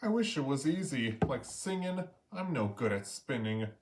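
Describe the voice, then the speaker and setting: A man's voice: medium pitch, sing-song, moderate pace, background noise, close to an everyday voice. A man voicing an earnest young female mermaid, eager but self-doubting, in a children's storybook reading. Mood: discouraged. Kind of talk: children's storybook read-aloud.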